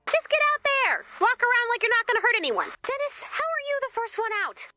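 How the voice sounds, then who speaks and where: An actress playing a peppy, bossy, easily exasperated woman who runs a summer camp, in an animated video game style. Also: very high-pitched, brisk, no echo, dull audio, heavily acted. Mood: exasperated, coaxing.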